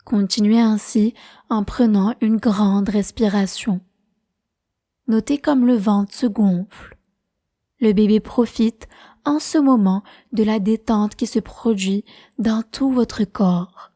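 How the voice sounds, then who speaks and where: A woman leading a guided prenatal meditation as a teacher, speaking in a calm, measured, soothing way. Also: medium pitch.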